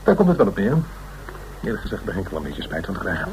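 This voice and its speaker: A man's voice: low-pitched, wildly swooping pitch, rapid-fire, heavily acted. A man playing a flustered, easily offended legal adviser in a radio play, hesitant and stammering. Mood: flustered, apologetic. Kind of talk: naturalistic Dutch radio play acting. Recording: radio play recording with faint noise.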